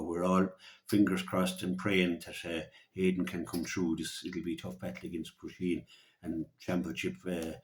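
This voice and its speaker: Male sports pundit, County Clare Irish accent, low register, little melodic movement, conversational delivery with frequent repeated words. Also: darkish tone, rough recording. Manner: halting, often repeating words. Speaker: local radio hurling pundit.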